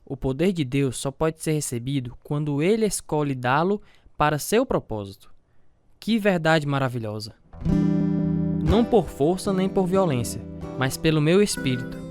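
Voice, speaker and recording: A man's voice: on the high side, close to an everyday voice. A man acting as a devout, self-doubting family man, narrating and talking in an earnest, confessional way with a Brazilian accent in a religious radio drama. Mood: awed, resolute.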